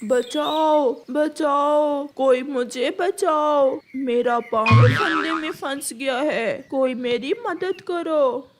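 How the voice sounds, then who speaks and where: A woman voicing a carefree, greedy young bird boy in a children's cartoon, childlike and excitable. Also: high-pitched, very slow, few pauses, faint music behind, voice pushed down. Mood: panicked.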